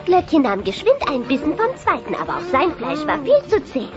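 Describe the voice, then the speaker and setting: A woman's voice: high voice, wildly swooping pitch, fairly quick. A woman playing a whiny, scheming young dinosaur daughter in a children's audio drama, girlish and exaggerated. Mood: gleeful storytelling. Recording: muffled cassette radio play with loud music.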